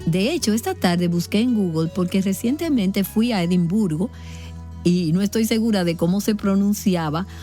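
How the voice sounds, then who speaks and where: Friendly female host with mellow voice. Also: mid-range voice, expressive, normal speed, faint music behind. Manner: friendly, conversational. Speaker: podcast host. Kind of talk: interviewing a guest.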